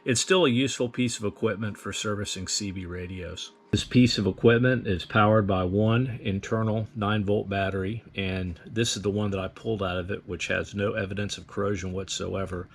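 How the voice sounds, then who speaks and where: A man's voice: fairly low pitch, steady tone, normal speed, hardly any pauses, slight background noise. A man giving a plain, methodical, step-by-step instructional demonstration in a matter-of-fact way. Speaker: host of radio equipment demonstration.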